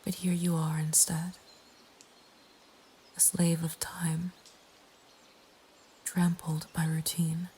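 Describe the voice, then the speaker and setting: A woman narrating a scripted horror story in a breathy ASMR whisper, with a hushed, deliberate delivery. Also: fairly low pitch, completely flat delivery. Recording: faint ambient background noise. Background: soft nature ambience.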